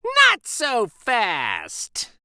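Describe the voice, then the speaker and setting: A man voicing a scary, strict witch of a schoolteacher, hissing and snapping menacing drawn-out commands in a children's storybook. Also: extremely high pitch, huge pitch swings, sharp tone, no room sound, clean studio sound, pitched above the performer's own voice, full character voice.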